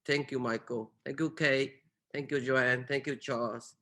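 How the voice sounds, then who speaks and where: A man with a mid-pitched voice hosts an online literary gathering. He speaks in an effusive, affectionate way, as if addressing friends over a video call. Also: steady tone, unhurried, pushed and bright. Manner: effusive, expressing gratitude and affection. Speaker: event host. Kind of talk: closing remarks at an online poetry reading.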